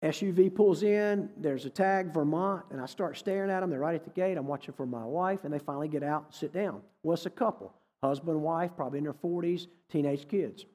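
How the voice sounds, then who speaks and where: Man, higher voice, husky tone, telling a folksy story, echoey hall. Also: fairly quick, few pauses, warm tone. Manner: folksy, conversational storytelling with quoted dialogue. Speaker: guest speaker. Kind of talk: storytelling sermon. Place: church hall.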